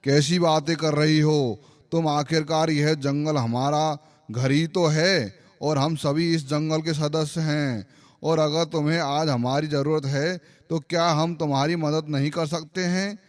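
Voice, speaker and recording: A man's voice: on the high side, fairly even intonation, unhurried, no room sound, lightly acted. A man voicing a kindly lion king in a cartoon moral story, speaking with emphatic, fatherly authority. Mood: reassuring. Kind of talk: Hindi animated moral-story voice acting.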